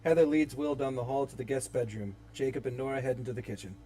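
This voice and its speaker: A man's voice: medium pitch, steady tone, fast talker, mellow. A man reading stage directions as narrator in a dramatic screenplay table read. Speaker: narrator and reader.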